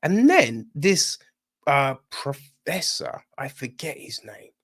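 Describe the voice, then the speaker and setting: Slow, rambling man reviewing a film. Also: medium pitch, sing-song. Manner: rambling, frequent tag questions like "right?" and "you know"; opinionated and irritated. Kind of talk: casual podcast review.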